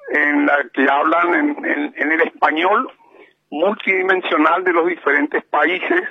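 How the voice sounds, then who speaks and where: Gravelly Paraguayan man on a dull phone line. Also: a little high, no room sound. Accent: Paraguayan Spanish. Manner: rambling, explanatory, with frequent fillers. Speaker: correspondent calling in from abroad. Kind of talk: casual radio chat.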